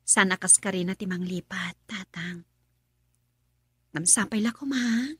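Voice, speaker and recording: A woman's voice: mid-range voice, unhurried, sharp tone, breathy edge, no echo, heavily acted. A woman acting a respectful, heartbroken young student in a radio drama. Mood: sad, resigned. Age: young adult.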